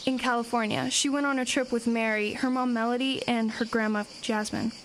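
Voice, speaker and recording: Woman playing a high-voiced, practical small-town girl. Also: fairly even intonation, slight background noise, natural voice, rough recording. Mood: matter-of-fact. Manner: brisk, practical, earnest. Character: plucky, matter-of-fact small-town teenage girl sleuth. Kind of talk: audio drama acting.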